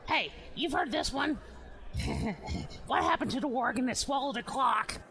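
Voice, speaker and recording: A woman voicing a manic, wisecracking goblin salesperson in an exaggerated, giggly pitch style for a comedy skit. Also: moderate pace, fairly bright tone. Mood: cheeky joking. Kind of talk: comedy skit in a roleplay podcast.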